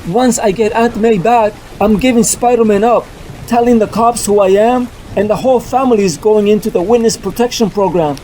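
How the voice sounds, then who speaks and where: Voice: medium pitch, brisk, mellow, a little hiss or hum, lightly acted. A performer voicing a young male superhero who is earnest, apologetic and set on a hard choice, in a fan dub of a motion comic. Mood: matter-of-fact. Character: young male superhero, guilt-ridden and determined.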